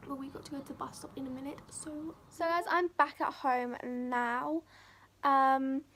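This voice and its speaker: A teenage girl chatting casually to camera for her vlog, voice croaky from a sore throat, full of "like" and "um". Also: high voice, steady tone, moderate pace, fairly bright tone, a little hiss or hum. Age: teens. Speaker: vlogger.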